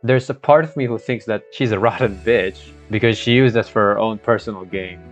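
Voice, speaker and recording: A man's voice: fairly low pitch, brisk. A young man with a Filipino accent narrates a game playthrough from a script, with casual asides. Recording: dull scuffed mic, music behind.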